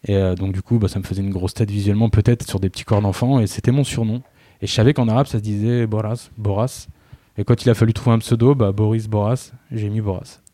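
A man's voice: lowish voice, fairly even intonation, quick pace, dark tone, dry recording. A man in his thirties, chatting casually and telling a self-mocking story. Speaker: guest. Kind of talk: casual podcast conversation.

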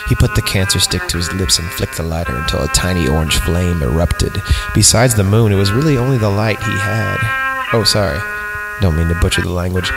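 Low, gravelly man reading horror irreverently. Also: poor audio. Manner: irreverent, mock-disgusted, often breaks off to comment. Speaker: podcast host reading a story aloud. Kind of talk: comedic narration of a horror story with casual asides. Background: background music.

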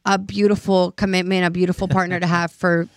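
A woman's voice: lowish voice, level tone, a little fast, no echo, studio quality. A woman in her late twenties co-hosting a casual podcast chat, earnest and often stopping to find her words. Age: 20s. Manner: earnest, searching for words, many restarts. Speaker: podcast co-host.

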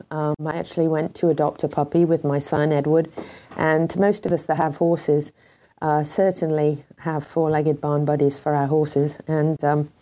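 A woman's voice: low voice, warm tone. Froggy British woman hosting a talk show. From Britain. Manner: hesitant, many ums, heartfelt. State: congested, froggy voice. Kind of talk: casual talk show monologue.

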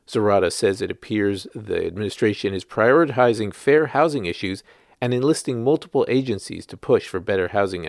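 A man's voice: fairly low pitch, gravelly, no room sound, clean studio sound. A man working as a journalist, reading his written article aloud as scripted narration.

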